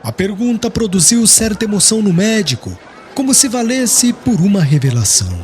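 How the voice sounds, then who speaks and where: A man's voice: high voice, sing-song, moderate pace, a faint crowd, slight background noise. A man narrating an audiobook in a solemn, literary style.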